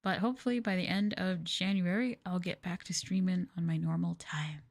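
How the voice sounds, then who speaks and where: A soft-spoken woman streamer chatting casually on an ASMR livestream in an unhurried, hesitant way, close to the microphone. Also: lowish voice, steady tone, gravelly. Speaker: VTuber streamer, host.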